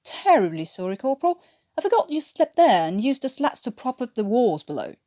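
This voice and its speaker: Woman as vengeful male sergeant, war storybook character, stern, stiff, forceful, commanding, animated pitch. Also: brisk. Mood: smugly unapologetic. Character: stern, hot-tempered male flight sergeant, vengeful prisoner.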